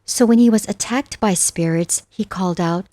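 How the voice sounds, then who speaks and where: A woman reading scripture as scripted narration, reciting verses with measured, deliberate phrasing. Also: mid-range voice, dark tone, no room sound. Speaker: narrator of a Buddhist scripture audiobook.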